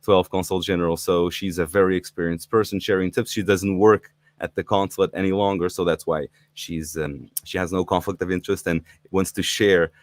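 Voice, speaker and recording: A man's voice: low voice, steady tone, fairly quick, few pauses, no room sound. A middle-aged man from Portugal hosting a live online talk, eager and inviting, with frequent fillers and restarts. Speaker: livestream host. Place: indoors in Portugal.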